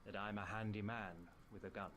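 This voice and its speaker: A man playing a cautious, plain-spoken gentleman in a visual novel, measured and quietly firm. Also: lowish voice, fairly even intonation, slight background noise, natural voice.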